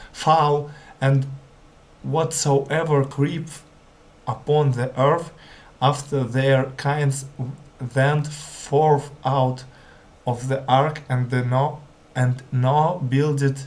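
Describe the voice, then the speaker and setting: A male language learner reads scripture aloud at a slow pace. English is not his native language. He moves haltingly, often stumbling and going back over words. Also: medium pitch, a little mellow. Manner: hesitant, stumbling, repeats words.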